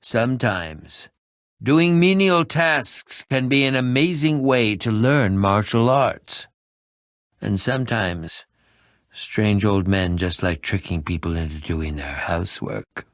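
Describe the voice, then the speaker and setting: An actor voicing an eccentric, excitable middle-aged adventurer in a video game, with a pompous, wordy, theatrical manner. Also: male voice, lowish voice, slow pace, no room sound, studio quality. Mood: proud storytelling. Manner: theatrical, pompous, wordy, swinging from muttering to exclaiming. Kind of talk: animated video game voice acting.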